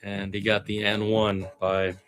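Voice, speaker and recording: A man's voice: on the low side, completely flat delivery, a little slow, fairly bright tone, faint music behind. A man giving conversational radio play-by-play of a basketball game, mixing running calls with opinionated asides. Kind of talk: live basketball play-by-play commentary.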